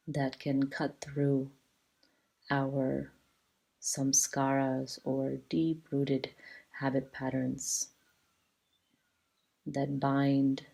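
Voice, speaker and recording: A woman teaching a guided yoga relaxation in a soothing, measured way, leaving long pauses between short phrases. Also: extremely low pitch, creaky, dark tone, rough recording.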